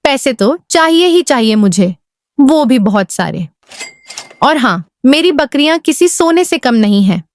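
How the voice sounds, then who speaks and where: Cartoon casting: actress as scheming, money-hungry wife, sharp-tongued and bossy, higher register, close dry sound. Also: female voice, very animated, unhurried, no echo. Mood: scolding, demanding. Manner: scolding, sarcastic, commanding. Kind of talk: Hindi cartoon voice acting.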